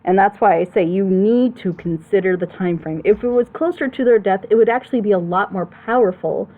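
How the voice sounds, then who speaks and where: A woman's voice: medium pitch, brisk. Opinionated woman on a slightly phone-like mic. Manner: opinionated, emphatic, explains at length. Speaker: panelist on a reaction show. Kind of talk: casual critical commentary on storytelling.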